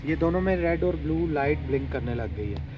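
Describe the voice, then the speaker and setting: Male tutorial host, slightly high voice, casual and explanatory, English words mixed in, music clearly behind. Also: lively intonation, brisk, highs cut off. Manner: explanatory, mixes English words into his speech. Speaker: motovlog host giving a product tutorial. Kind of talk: casual step-by-step how-to narration. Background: background music.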